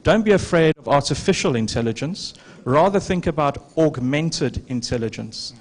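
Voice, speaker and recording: A man on a conference panel giving measured, explanatory answers, often pausing with fillers. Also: mid-range voice, a little mellow.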